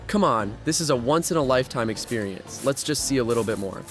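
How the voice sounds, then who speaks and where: A man's voice: medium pitch, expressive, fast, close to an everyday voice. Cocky young man acting as a thrill-seeker, music underneath. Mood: cajoling. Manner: cajoling, breezy, self-assured. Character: cocky, daring young man urban explorer. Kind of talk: horror audio drama acting and first-person narration.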